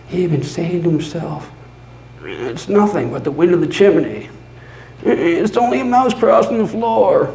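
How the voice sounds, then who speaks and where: A man voicing a mad, obsessive murderer confessing his crime in a dramatic gothic horror reading, halting and theatrical, moving between breathy whispers and loud outbursts. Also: high-pitched, full character voice. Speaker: storyteller. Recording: roomy live recording with faint noise.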